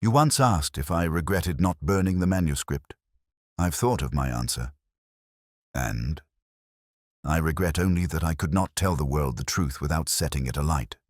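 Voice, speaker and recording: A brooding gentleman detective of Victorian fiction , voiced by a male narrator, very deep in pitch. He is detached and grave, making deliberate, cryptic pronouncements with expressive rises and falls. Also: dry recording, studio quality. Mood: brooding, solemn. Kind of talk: Victorian detective audiobook dialogue.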